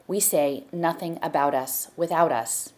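A woman's voice: deep voice, a little slow. A woman giving a plain, informative introduction to a protest video. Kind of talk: spoken introduction.

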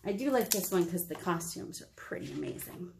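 An American woman in her thirties leading a children's storytime, reading aloud and chatting to young listeners in an encouraging, expressive manner. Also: fairly low pitch, brisk, vocal fry, background noise. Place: small indoor meeting room.

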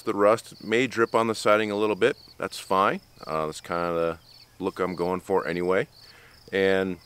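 A man's voice: low voice, a bit bright, clean tone. A middle-aged man, plainspoken and practical, explains his building work outdoors to a camera close to his face, with the odd um and restart. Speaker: builder vlogger. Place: outdoors on an open building site. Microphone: camera close up at face height.

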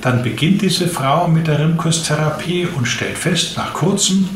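A man in his late fifties giving measured explanations as a doctor being interviewed. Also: a little high. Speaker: interviewed physician. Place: small indoor room, seated at a desk. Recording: echoey room with clear background noise.

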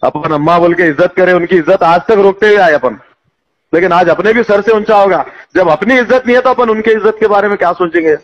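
Hyderabadi guy ranting on a recorded phone call, high voice, indignant, muffled sound. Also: fast. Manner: indignant, insistent, repeating rhetorical questions. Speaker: local party politician. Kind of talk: heated phone conversation.

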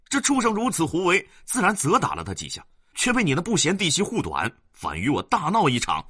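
A male storyteller voicing an aggrieved older gentleman who complains at length. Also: on the low side, dry recording, clean studio sound. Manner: complaining, grumbling then rising.

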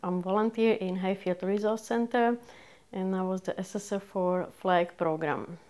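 A woman's voice: mid-range voice, steady tone, measured pace, darkish tone. Czech-accented older woman, earnest volunteer. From Czech Republic. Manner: earnest, non-native phrasing, keen. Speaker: community volunteer. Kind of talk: sit-down documentary interview. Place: small office with bookshelves.

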